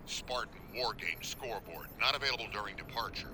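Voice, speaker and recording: A man's voice: lowish voice, animated, a little fast, background noise. A man playing a military war game simulator AI in a video game, announcing tersely and formally. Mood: flat announcing.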